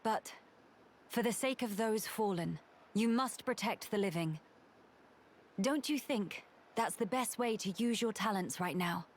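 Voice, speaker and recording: A woman playing a composed young crown princess in a video game, speaking with formal, measured dignity. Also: mid-range voice, slight background noise, natural voice. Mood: firm, resolute. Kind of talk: video game cutscene acting.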